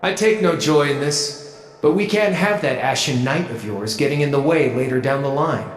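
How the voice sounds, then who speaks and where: An actor playing a cocky, wry young rebel mech pilot in a video game cutscene. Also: male voice, on the high side, normal speed, lightly acted. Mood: wry, menacing. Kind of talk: English dub video game cutscene acting. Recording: roomy echo, faint background noise.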